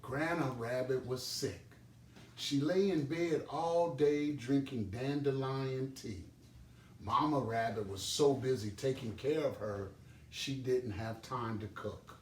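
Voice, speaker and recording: A man reading a children's storybook aloud as an expressive storyteller. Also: mid-range voice, slow. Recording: roomy, distant microphone, background noise.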